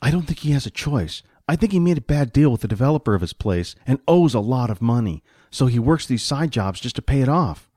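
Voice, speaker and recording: A man's voice: mid-range voice, big pitch swings, brisk, few pauses, a little mellow, dry recording, studio quality. A man voicing a pragmatic, money-minded hired hand in a fantasy audiobook, explaining things in impatient colloquial talk.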